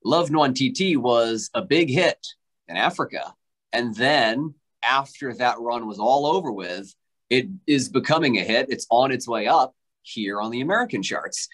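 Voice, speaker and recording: A man's voice: medium pitch, a bit bright. A young male radio host interviewing a guest over a video call from an indoor room. His voice sits in a middle range, and he asks his questions in an upbeat, polished presenter delivery. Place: indoor room with a station backdrop.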